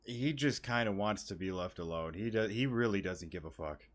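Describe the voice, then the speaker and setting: Very deep-voiced, laid-back woman gamer. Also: animated, normal speed. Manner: laid-back, blunt, slangy. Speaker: gaming YouTuber. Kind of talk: casual reaction commentary over gameplay. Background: faint game music.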